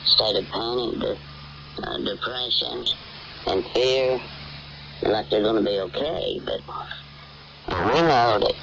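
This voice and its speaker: An American man calling in with unscripted religious testimony, halting and stumbling over his words. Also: on the low side, creaky. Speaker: caller leaving a religious message. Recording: muffled, noisy shortwave radio capture.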